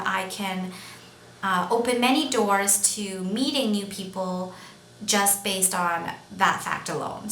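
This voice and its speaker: A young woman with a Canadian accent chats unscripted to her viewers in a bright, clear-edged voice. She rambles, pausing and searching for words as she goes. The recording has noticeable room echo and a faint layer of noise. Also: medium pitch. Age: young adult. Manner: rambling, hesitant, with many pauses. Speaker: vlogger. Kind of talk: casual, unscripted talk to camera.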